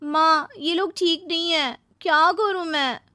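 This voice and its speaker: A woman's voice: extremely high pitch, steady tone, a little slow, bright, forceful tone, no room sound, clean studio sound, pitched above the performer's own voice, exaggerated voice. A woman voicing a restless, troubled young married woman in a plaintive, dramatic storybook style. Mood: distressed.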